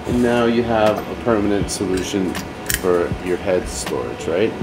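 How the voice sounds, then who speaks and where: A man in his forties giving a casual product demonstration in halting phrases with pauses. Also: low-pitched, heavy background noise. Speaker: company product presenter. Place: indoor set with brick wall, seated at table.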